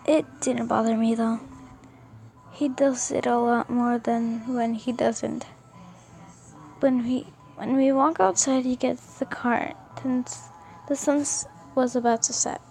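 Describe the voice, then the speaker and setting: Woman, amateur story narration, halting with stumbles and repeats, mellow soft-edged tone, creaky, music behind. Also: fairly high pitch. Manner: halting, stumbles and rereads words. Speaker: amateur narrator reading fan fiction. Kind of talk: read-aloud first-person story narration.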